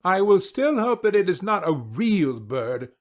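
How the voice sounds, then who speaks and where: A man's voice: high-pitched, big pitch swings, measured pace, voice pushed up. A man voicing a spoiled young storybook princess in a petulant, exclaiming manner.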